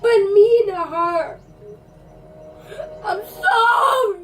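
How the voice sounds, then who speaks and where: A woman's voice: very high voice, animated, extremely slow, a bit bright, soft background music. A young girl with a childlike voice, play-acting an improvised puppet show in a theatrical, whiny way.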